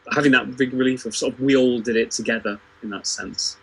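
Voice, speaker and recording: A man talks in an unscripted group discussion. His voice is mid-pitched and husky, and he often doubles back on his phrasing as he feels out a point conversationally. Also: steady tone, fast talker, rough recording. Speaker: theatre company performer on a livestream panel. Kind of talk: casual unscripted panel discussion.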